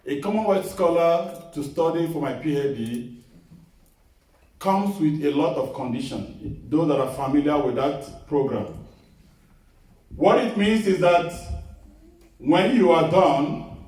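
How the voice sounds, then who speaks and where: Man, middle-aged, earnest preacher, fairly high voice, echoey distant hall. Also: darkish tone. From Nigeria. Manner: earnest, measured, repeats key phrases. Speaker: guest preacher. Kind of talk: sermon with personal testimony. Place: small church hall.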